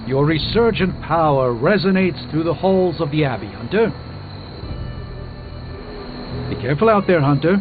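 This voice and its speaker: An actor playing an earnest, formal superhero sorcerer burdened by regret in a video game, speaking in an articulate, measured way. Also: male voice, fairly high pitch, lively intonation, phone-quality sound, lightly acted. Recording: band-limited game audio with background music.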